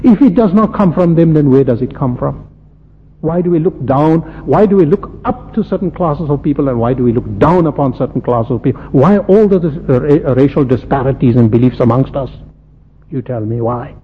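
A man from South Africa preaching a sermon, impassioned, firing rhetorical questions and swinging from loud peaks to soft low asides. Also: a little fast. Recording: lo-fi phone-like radio broadcast with faint hiss and long hall reverb.

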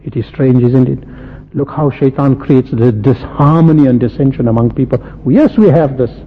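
A man from South Africa preaching a sermon, impassioned, firing rhetorical questions and swinging from loud peaks to soft low asides. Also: moderate pace, slightly rough. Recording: lo-fi phone-like radio broadcast with faint hiss and long hall reverb.

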